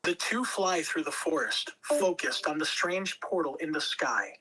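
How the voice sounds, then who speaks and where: Bright-voiced man narrating a script. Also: a little high, expressive, a little slow, husky, poor audio. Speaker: narrator at a script table reading. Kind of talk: scripted narration of stage directions.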